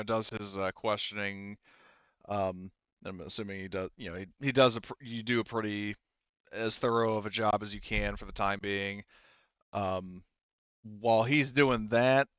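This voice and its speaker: Male game master, low smooth voice, halting explanatory delivery, close dry but slightly dull recording. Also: a bit bright, no echo. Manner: halting, explanatory, circles back and restarts sentences. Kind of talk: casual improvised tabletop game narration.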